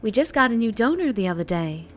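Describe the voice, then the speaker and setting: A woman's voice: fairly high pitch, very animated, fast talker, a little hiss or hum, voice pushed up. A woman voicing a sweet, chirpy small-town waitress with a Southern US accent and friendly service patter in an ASMR roleplay drama. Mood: chirpy, welcoming.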